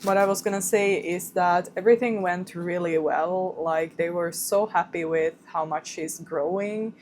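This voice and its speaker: Slow-talking young woman with Swedish accent. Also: on the low side, a little mellow, a little hiss or hum. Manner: unhurried, rephrases herself often. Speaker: vlogger. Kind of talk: casual chat to camera. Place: home kitchen.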